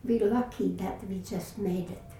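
Older Latvian-accented woman, halting and roomy. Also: medium pitch, gravelly, mellow. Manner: halting, searching for words, restarts often. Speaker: interviewee. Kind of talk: oral history interview. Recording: roomy echo, distant mic, faint hiss.

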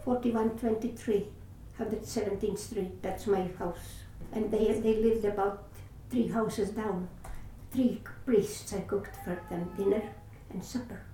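This is an older woman with a Latvian accent, Latvian being her first language, telling her life story in an oral history interview. She speaks haltingly, often stopping to search for words and restarting. Her voice is mellow and dark, recorded from a distance in a very roomy space with a faint hiss underneath. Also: mid-range voice, steady tone.

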